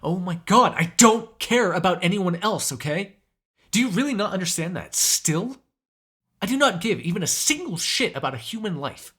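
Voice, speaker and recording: A man's voice: medium pitch, very animated, moderate pace, long pauses, fairly bright tone, slightly rough, heavily acted. A man voicing a hungry, cranky, flirtatious male vampire in an ASMR audio roleplay, sarcastic and given to swearing. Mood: angry, cranky. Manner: sarcastic, swearing, moody, shifting from murmurs to exasperated outbursts.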